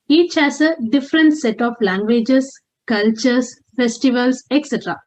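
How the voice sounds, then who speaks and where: A woman's voice: on the high side, darkish tone. A woman from India, carefully reciting a prepared talk on a video call from home. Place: home room with window blinds. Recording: dull lo-fi video call audio.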